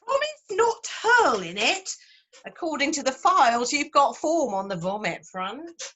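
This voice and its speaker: A woman's voice: high voice, big pitch swings, unhurried, bright, forceful tone, heavily acted. An actress playing a cheeky, breezily snide female receptionist, reading a script aloud on a video call. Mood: breezy, teasing. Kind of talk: acted script table read.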